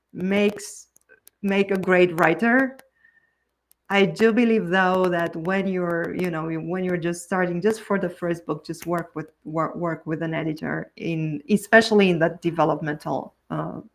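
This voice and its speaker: A woman, being her language, answering podcast questions as a book coach in an earnest way with frequent restarts. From Romania. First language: Romanian. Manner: earnest, with frequent restarts and pitch jumps on key words.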